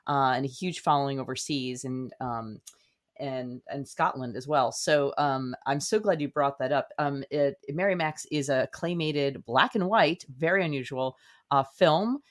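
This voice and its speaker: A woman's voice: extremely low pitch, measured pace. A woman talks conversationally as a guest in an interview. She is effusive and leans on her words with emphasis, like a writer explaining her craft. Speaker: guest, playwright.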